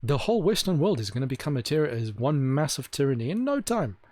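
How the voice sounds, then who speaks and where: A man's voice: medium pitch, big pitch swings, a little mellow, dry recording, studio quality. A man giving an opinionated solo monologue to camera, emphatic and repeating his points for stress. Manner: slows down to stress key words. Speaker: video host.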